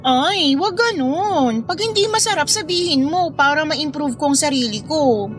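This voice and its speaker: A woman's voice: sits high, expressive, normal speed. An actress playing an affectionate, playful young woman in love in a Filipino radio drama. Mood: teasing, caring. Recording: music bed under dialogue.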